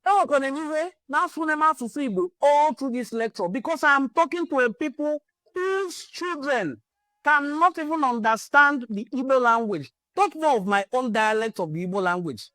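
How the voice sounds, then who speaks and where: A woman's voice: high-pitched, expressive, brisk, a bit bright, dry recording. A Nigerian woman hosting a cultural channel, delivering an impassioned address built on repeated rallying calls. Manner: rallying, repetitive exhortations.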